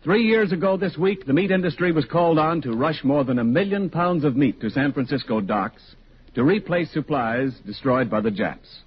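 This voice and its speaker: A man's voice: fairly high pitch, lively intonation, moderate pace. A man reading scripted introductions as a 1940s radio announcer. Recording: dull, faintly noisy 1940s radio recording.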